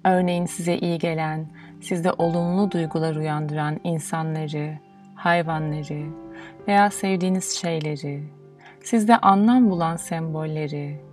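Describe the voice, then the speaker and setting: A woman guiding a meditation in a soothing, measured, instructional way, leaving pauses between phrases. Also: deep voice, fairly even intonation, darkish tone. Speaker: meditation guide. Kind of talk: guided yoga nidra meditation, instructional. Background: music.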